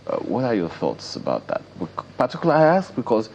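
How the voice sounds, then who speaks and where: A man with a Nigerian accent hosting a conversational television interview, speaking in an animated, emphatic way. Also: fairly low pitch, quick pace, creaky, muffled recording, a little hiss or hum. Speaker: TV interview host.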